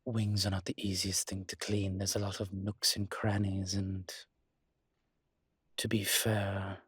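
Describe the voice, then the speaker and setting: A man playing a weary, guarded winged demon in an intimate roleplay audio drama, speaking in a husky, breathy voice with dry, halting phrasing. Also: deep voice, monotone, fairly bright tone, no echo, full character voice. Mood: bemused, weary.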